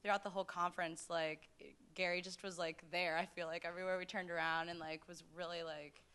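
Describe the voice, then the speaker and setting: Bright-voiced rambling young woman. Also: fairly low pitch, little pitch movement, brisk, clean tone. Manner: rambling, filler-heavy. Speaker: student. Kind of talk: panel discussion.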